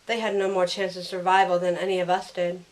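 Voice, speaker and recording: A woman's voice: on the low side, little pitch movement, a little fast, lightly acted, low-quality recording. A woman playing a caring young heroine who narrates her own ordeal in a scripted first-person audio drama. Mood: tense narration.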